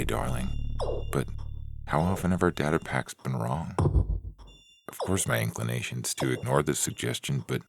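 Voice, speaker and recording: An actor playing a hesitant, rule-trusting young fiancé in an audio story. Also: male voice, extremely low pitch, gravelly. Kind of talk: dramatic reading of audio fiction. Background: faint music.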